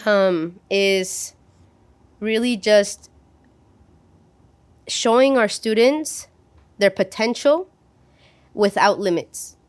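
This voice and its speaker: A woman's voice: mid-range voice, drawn-out words, long pauses. A woman in her thirties whose first language is Spanish, talking earnestly in a casual podcast discussion, with many fillers and restarts. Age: 30s.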